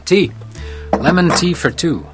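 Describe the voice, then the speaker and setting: An actor playing a composed, world-weary hotel house detective in a noir radio drama, wry and probing. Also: male voice, a little high, animated, unhurried, music in the background. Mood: wry, pressing. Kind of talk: radio drama acting with first-person noir narration.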